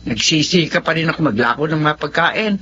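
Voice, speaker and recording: A woman's voice: low-pitched, brisk, a bit bright, highs cut off, a little hiss or hum. An actress playing a tired, poor older mother who scolds and complains, in a radio drama. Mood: complaining.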